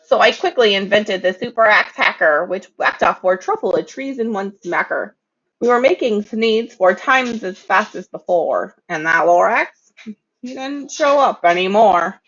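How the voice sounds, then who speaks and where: A storybook reader delivers rhyming narration with drama and wide swings of intonation. The voice sits at a medium pitch and occasionally trips on a word. The recording sounds somewhat dull. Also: animated, moderate pace, fairly bright tone. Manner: dramatic, with stumbles over words. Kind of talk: expressive rhyming story read-aloud.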